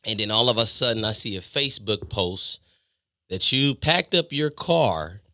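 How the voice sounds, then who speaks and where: A man from Indiana hosting a podcast, asking questions in a relaxed, agreeable, conversational way. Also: fairly low pitch, normal speed, dry recording, clean studio sound. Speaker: podcast host. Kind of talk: casual interview.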